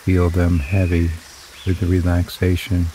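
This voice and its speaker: Slow, deep-voiced male meditation guide. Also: monotone, dark tone, slight background noise, poor audio. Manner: slow, soothing, drawn-out phrases.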